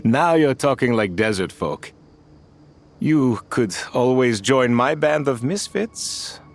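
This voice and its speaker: An actor playing a calm, fatherly middle-aged leader of a desert band in a video game, talking in a measured, folksy way. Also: mid-range voice, soft background music. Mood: gruff kindness.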